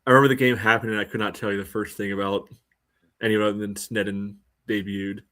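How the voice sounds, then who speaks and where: A man with a slightly low voice chats as co-host of a sports podcast. He is conversational and wry, working through his thoughts aloud as he goes. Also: level tone, quick pace. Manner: thinks out loud, wry. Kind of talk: casual podcast chat about soccer.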